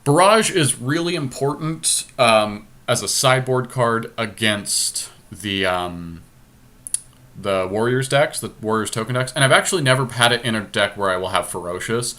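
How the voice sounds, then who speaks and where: A man's voice: medium pitch, lively intonation, moderate pace, bright, forceful tone. A man hosting a casual card game podcast, thinking aloud and explaining his choices with opinionated enthusiasm.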